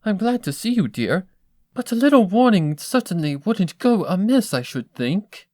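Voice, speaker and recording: Storybook lady pony dressmaker, played by a woman: high-pitched, very animated, refined, gushing and theatrical. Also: moderate pace, no echo, studio quality. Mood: startled disapproval. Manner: theatrical, genteel, affectionate.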